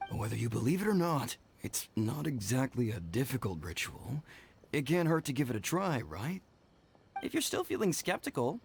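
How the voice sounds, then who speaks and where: A man's voice: mid-range voice, slight background noise, lightly acted. A man playing a gossipy, enthusiastic promoter in a video game, persuasive and salesman-like as a storyteller. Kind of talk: animated game dialogue.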